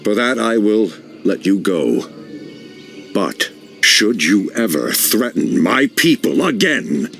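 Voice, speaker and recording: An actor playing a stern, distrustful elven leader in a fantasy game, speaking in a commanding, solemn and deliberate way. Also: male voice, fairly low pitch, sing-song, a bit bright, soft background music, full character voice. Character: stern male night elf leader, distrustful of his brother. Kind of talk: dramatic fantasy game voice acting.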